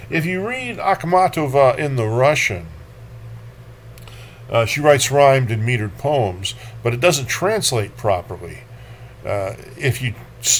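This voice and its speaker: Slow-paced man reading poetry, noisy recording. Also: mid-range voice. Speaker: poetry reader and host. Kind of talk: poetry reading with casual commentary.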